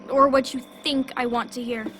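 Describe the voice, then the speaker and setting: A woman's voice: high voice, flat delivery, normal speed, background noise, close to an everyday voice. A woman voicing a stubborn, wounded young girl in a video game, earnest and emotional. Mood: hurt, bitter. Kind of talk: video game dramatic acting.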